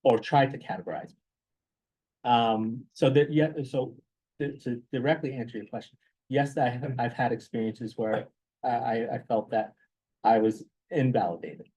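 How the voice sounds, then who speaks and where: A man giving reflective advice as a guest speaker, in a halting way that repeats words as he thinks. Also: medium pitch, dark tone, breathy edge, poor audio. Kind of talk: reflective advice in an informal talk.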